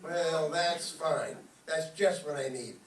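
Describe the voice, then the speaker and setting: A man's voice: a little high, slow pace. A man playing a bickering, tightfisted husband in old-time radio comedy style, wisecracking and exclaiming theatrically. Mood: grumbling. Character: bickering, grumbling, tightfisted husband, excitable and wheedling. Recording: roomy, distant mic with background noise.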